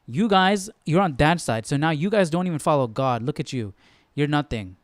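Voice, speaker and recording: A man's voice: fairly high pitch, expressive, moderate pace, no echo, clean studio sound. A man giving casual, off-the-cuff commentary as host of a Bible study, questioning and conversational with repeated phrases.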